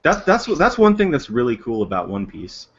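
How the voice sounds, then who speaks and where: A man from the United States leads a casual group call, talking in animated bursts that restart and rush ahead. His voice sits in a middle register. The stream audio is dull and lo-fi. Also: medium pitch, a little fast. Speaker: stream host. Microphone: online call.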